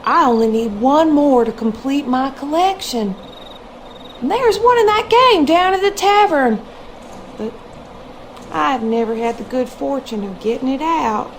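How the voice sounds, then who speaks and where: Casting: genteel Southern wife, wistful and doting, very high voice, slow drawl, for a mystery game. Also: female voice, lively intonation, a little hiss or hum, low-quality recording. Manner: genteel, plaintive, drawn-out. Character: wistful, genteel Southern wife who dotes on her dolls. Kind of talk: video game character acting.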